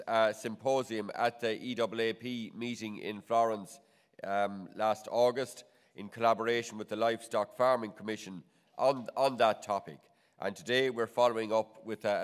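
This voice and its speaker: Middle-aged Irish man, stuffy and nasal, low voice, steady chairman's delivery at a podium mic, with hall reverb. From Ireland. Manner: measured, frequent restarts and fillers. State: nasal from a cold. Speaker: conference chair. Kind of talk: formal opening remarks. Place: large wood-panelled conference hall. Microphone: podium microphone.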